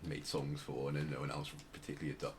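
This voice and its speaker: A man hosting a podcast, explaining things casually in a hesitant, measured, dry way. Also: a bass voice, level tone. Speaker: podcast host presenting outsider music. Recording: clear background noise.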